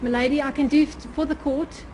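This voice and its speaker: A woman's voice: sits high, fairly even intonation, fairly quick, a little mellow. A South African woman, a native Afrikaans speaker with that accent, giving careful, polite formal testimony in court. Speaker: witness testifying. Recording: courtroom recording with background noise.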